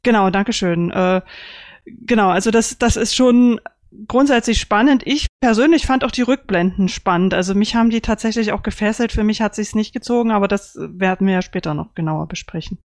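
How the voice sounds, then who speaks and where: A woman's voice: medium pitch, brisk, a little mellow, no room sound. Woman in casual talk, very dry sound. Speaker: podcast panelist reviewing audio dramas. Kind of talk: casual panel discussion.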